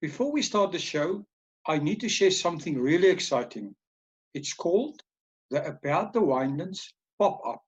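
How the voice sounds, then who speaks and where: A man's voice: on the high side, lively intonation, normal speed, slightly rough, lo-fi sound. A man hosting a show, reading an announcer-style intro and then interviewing a guest. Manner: announcer-like, reads lists. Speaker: show host.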